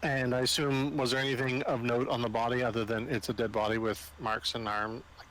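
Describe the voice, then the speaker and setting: Male tabletop player, medium pitch, casual questioning delivery, audible background noise. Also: level tone, a little fast, a bit bright, rough recording. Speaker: player in a tabletop role-playing game. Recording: home recording with clear background noise.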